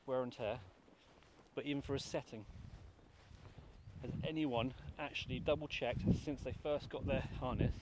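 A man's voice: medium pitch, steady tone, moderate pace, noisy background. A man in his thirties, a paraglider pilot, talking casually and earnestly to an action camera outdoors, asking the viewer questions. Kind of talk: casual vlog explaining gear. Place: outdoors on a grassy hillside, then in flight.

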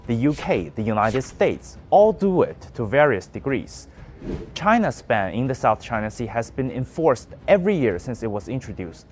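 Young man, news commentary, animated intonation, pointed, faint music behind. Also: mid-range voice. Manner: emphatic, pointed delivery. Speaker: news presenter.

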